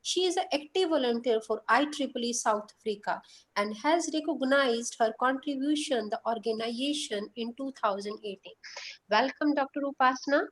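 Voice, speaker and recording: High-voiced woman hosting a workshop. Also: normal speed, a bit bright, rough recording. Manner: formal, reads steadily, small slips. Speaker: workshop host. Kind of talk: reading a speaker introduction. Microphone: video call.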